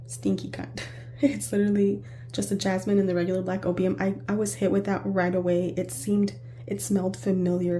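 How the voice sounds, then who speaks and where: Hoarse woman chatting about perfume. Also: medium pitch, dark tone, slight background noise. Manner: chatty, rambling with self-corrections. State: hoarse, raspy from illness. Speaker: perfume reviewer. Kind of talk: casual perfume review.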